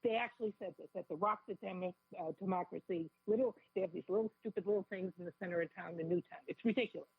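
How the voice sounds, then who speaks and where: A woman with a cold and a raspy voice, calling in as a radio guest and speaking fervently and quickly. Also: fairly low pitch, no room sound. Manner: fervent, rapid, repeats herself. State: has a cold. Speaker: caller guest. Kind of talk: phone-in talk radio interview. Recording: tinny phone line.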